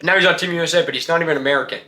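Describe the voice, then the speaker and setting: A man's voice: a little high, rapid-fire, bright, forceful tone, reverberant. A man hosting a casual sports talk show, giving blunt, slangy opinions and firing off rhetorical questions.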